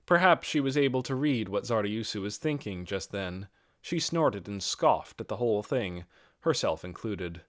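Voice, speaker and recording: A man reading a fantasy audiobook as narrator, in a measured and expressive dramatic storytelling style. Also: fairly low pitch, no room sound, clean studio sound.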